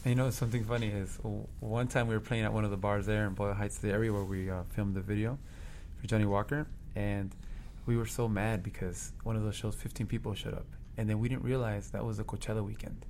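Low-voiced man telling lively band stories. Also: quick pace, a little hiss or hum. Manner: animated storyteller, earnest. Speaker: band member. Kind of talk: casual interview chat.

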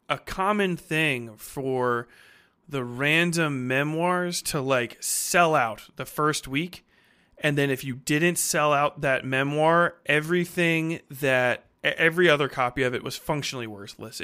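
A man's voice: on the high side, slow pace, pushed and bright, clear, resonant tone. A man hosting a casual podcast book discussion, talking in a rambling, conversational way with frequent swearing. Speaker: podcast host.

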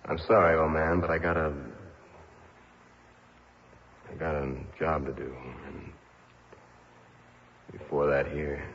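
An actor playing a wry, world-weary insurance investigator in a mid-century radio drama, shifting between dialogue and first-person narration. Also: male voice, rumbling low, steady tone, moderate pace, a little hiss or hum. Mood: groggy, apologetic. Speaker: lead actor. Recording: muffled old radio broadcast, faint music behind.

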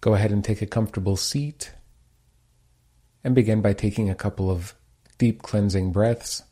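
A man leading a guided meditation as its teacher, giving calm, gentle, unhurried instructions. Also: lowish voice.

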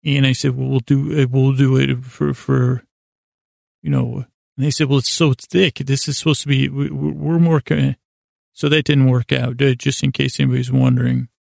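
Man, American accent, heavy gravelly fry, flat monotone, halting podcast monologue, deadpan and meandering. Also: mid-range voice, dry recording, clean studio sound. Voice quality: gravelly, creaky. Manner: halting, meandering, self-interrupting, deadpan whimsy. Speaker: podcast host. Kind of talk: rambling, improvised monologue storytelling.

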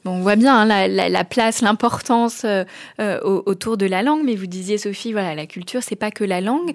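A woman hosting an interview, asking her questions in a measured, attentive way. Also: mid-range voice, no echo, clean studio sound.

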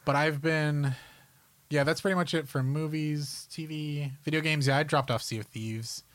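A man's voice: a little high, fairly even intonation, normal speed, fairly bright tone, dry recording. A man co-hosting a podcast, chatting casually and informally in a rambling way about films and games. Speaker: podcast co-host.

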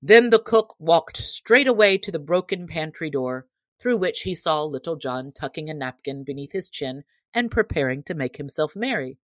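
A woman narrating an old adventure storybook expressively, with measured literary delivery. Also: deep voice, animated, dry recording. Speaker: audiobook narrator.

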